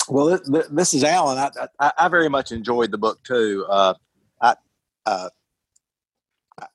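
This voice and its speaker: A man's voice: medium pitch, fairly even intonation, normal speed, no echo. A man chatting casually about a book, hesitantly and with many stammered repetitions. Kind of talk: casual book club discussion.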